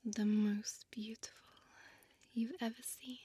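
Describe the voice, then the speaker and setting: A woman playing a seductive, possessive female vampire in an intimate whispered audio roleplay, with slow, deliberate, teasing formal speech, close to the microphone. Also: on the high side, level tone, mellow, heavily acted. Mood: teasing, vain. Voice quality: breathy whisper. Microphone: close dry studio microphone.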